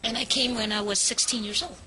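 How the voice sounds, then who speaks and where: A woman's voice: fairly high pitch, fairly even intonation, fairly quick, a crowd in the background. A woman from Hong Kong, a guest in a casual interview, telling her story in a chatty way with frequent restarts.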